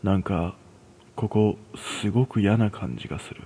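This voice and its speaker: A man voicing a serious, cautioning young woman who senses spirits, in a hushed horror story. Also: lowish voice, moderate pace, husky. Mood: uneasy. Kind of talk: radio horror story dialogue.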